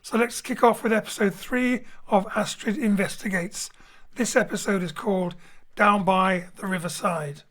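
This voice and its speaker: A man introducing an audio drama as its director, in a measured way. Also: high-pitched, steady tone, fairly bright tone. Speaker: theatre director and presenter.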